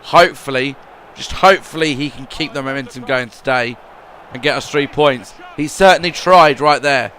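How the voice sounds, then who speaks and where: A man's voice: mid-range voice, moderate pace, few pauses, slight background noise. A man giving rambling voice-over commentary on his football video game career, often repeating words. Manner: rambling, repeats words, swings between gloom and excitement.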